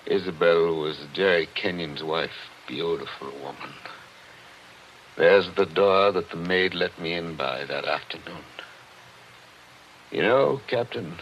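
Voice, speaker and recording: A man's voice: deep voice, takes it slowly, pauses often, slight background noise. An actor playing a brooding, nostalgic man in a wistful, theatrical 1940s radio drama style. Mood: guarded. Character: Joe Parker, brooding nostalgic man haunted by old memories. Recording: dull vintage radio recording with music.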